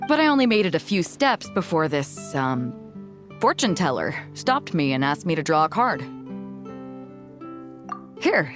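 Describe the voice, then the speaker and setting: An easygoing, proud woman captain, talking with swinging, expressive pitch. She sounds hearty and sure of herself in a conversational way, over light background music. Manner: breezy, confident, conversational with chuckles. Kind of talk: video game voice acting. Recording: music bed under the voice.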